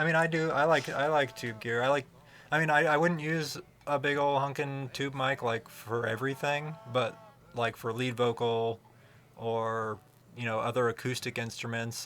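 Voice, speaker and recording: Low-voiced man rambling, flat and even. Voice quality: fairly low voice. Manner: rambling, hesitant, many fillers and restarts. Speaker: streamer talking about microphones. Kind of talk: casual livestream chat.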